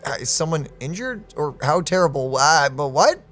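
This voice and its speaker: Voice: mid-range voice, lively intonation, moderate pace, a bit bright, faint music behind. A streamer giving casual playthrough commentary with informal, reactive exclamations.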